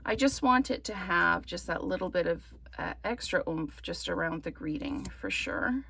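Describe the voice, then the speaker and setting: A woman from Canada giving a relaxed craft tutorial, her voice slightly croaky from smoke, rambling with many ums. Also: fairly low pitch, normal speed, slight background noise. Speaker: card-making demonstrator. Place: indoors at a craft table.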